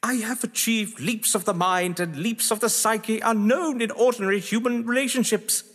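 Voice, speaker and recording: A man's voice: high voice, normal speed, fairly bright tone. A man acting in an audio drama as a haughty, games-obsessed writer, eloquent, grandiose and sardonic. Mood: haughty, boastful. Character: haughty, theatrical games-obsessed male writer, defensive under suspicion. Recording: long echoing hall reverb.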